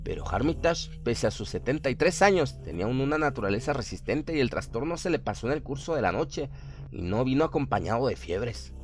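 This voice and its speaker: A man's voice: medium pitch, very animated, fairly quick. A man narrating a scripted horror story in a dramatic radio style. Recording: prominent music under the voice. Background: music.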